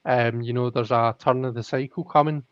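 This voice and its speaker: A man's voice: mid-range voice, steady tone, normal speed, clean tone, no echo. A man hosting a live tarot stream, thinking aloud in casual chat with many restarts. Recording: dull lo-fi audio.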